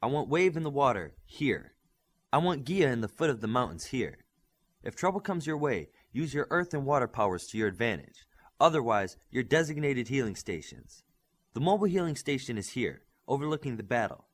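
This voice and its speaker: A man's voice: mid-range voice, big pitch swings, fairly quick. A man voicing a young, earnest superhero squad leader in an audiobook, giving orders in an emphatic briefing style. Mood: commanding. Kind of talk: audiobook character dialogue.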